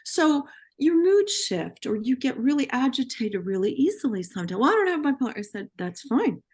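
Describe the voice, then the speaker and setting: A woman in her sixties, a veteran therapist, chats in a lively way and acts out exchanges with her patients. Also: high voice, normal speed, slightly rough. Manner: animated storyteller acting out her patients' lines. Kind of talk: casual professional discussion.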